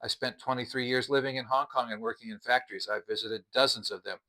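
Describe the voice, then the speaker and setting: A man's voice: medium pitch, steady tone, fast, sharp tone. A middle-aged or older man giving a casual product review, explaining things in a plainspoken, methodical way.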